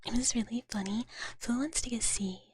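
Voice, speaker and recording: A woman streaming ASMR in a soft, breathy whisper, chatting casually and playfully with viewers up close to the microphone. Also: a little high, brisk, very noisy. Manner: playful, speaks of self in third person. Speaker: ASMR livestreamer.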